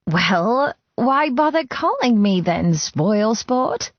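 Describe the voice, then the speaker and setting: Lady doing a sassy young gal pal for an audiobook, exclamatory, voice way up high, teasing. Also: big pitch swings, takes it slowly, no room sound, dull audio, exaggerated voice. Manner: exclamatory, playfully sarcastic. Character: chatty, teasing young woman best friend on phone. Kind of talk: acted audiobook dialogue.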